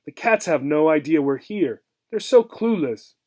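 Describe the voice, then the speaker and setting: A man acts out an old storybook mouse with a gruff, curt way of talking. He is stern, scornful and impatient, bossing the young ones about in a raised pitch. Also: lively intonation, unhurried, darkish tone.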